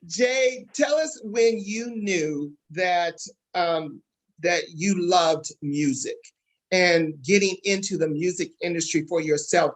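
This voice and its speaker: A woman's voice: on the low side, slow. A woman hosting a casual interview, putting questions to her guests in a conversational way. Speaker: interviewer, host.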